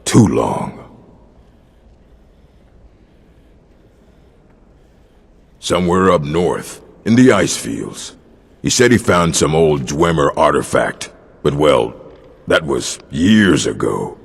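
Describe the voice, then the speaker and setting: An actor playing a gruff older male orc librarian in a video game, dry, weary and curt, with a gravelly, husky voice. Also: extremely low pitch, big pitch swings, slow pace, heavily acted. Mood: somber. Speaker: game character. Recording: long hall reverb.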